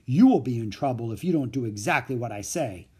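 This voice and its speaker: A man voicing a cocky, bossy hijacker in a storybook, with forceful, smug delivery. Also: medium pitch, expressive, a little fast, slightly rough.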